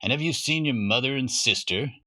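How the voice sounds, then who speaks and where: A man's voice: medium pitch, a little fast, bright, forceful tone, lightly acted. A man voicing a confident, blustery, old-fashioned father with a pompous, matter-of-fact manner for a children's storybook.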